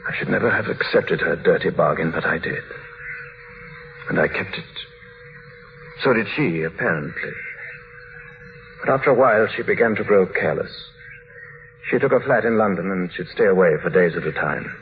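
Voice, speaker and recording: Deep-voiced tormented husband, vintage radio. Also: male voice, expressive, creaky. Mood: bitter, tormented. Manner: grave, dramatic, halting confession. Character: tormented husband confessing a dark secret. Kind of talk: old radio drama acting. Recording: muffled, noisy vintage radio recording.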